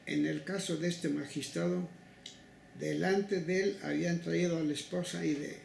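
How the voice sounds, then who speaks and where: An older Mexican man recounts past cases as an interviewee. His voice is very gravelly and fairly high for a man, and he speaks in a halting, deliberate way. The room rings with echo around him. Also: fairly even intonation, darkish tone. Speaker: interviewee, human rights legal advocate. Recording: echoey room with faint noise.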